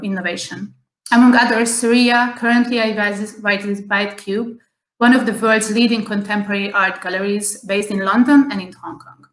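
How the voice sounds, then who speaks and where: A woman's voice: medium pitch, fairly bright tone. A woman, hosting an online interview over a video call and speaking carefully with many fillers and restarts. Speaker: host. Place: home room, plain wall. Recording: echoey room on a video call.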